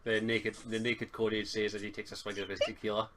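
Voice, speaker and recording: A man with a Scottish accent improvising narration as game master, in a halting, informal way. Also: lowish voice, monotone. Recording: faint noise and clicks behind voice.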